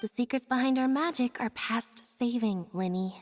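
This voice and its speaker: Woman acting composed girl assistant to a magician, slightly high voice, game dialogue, echoing hall. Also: moderate pace, a little hiss or hum, close to an everyday voice.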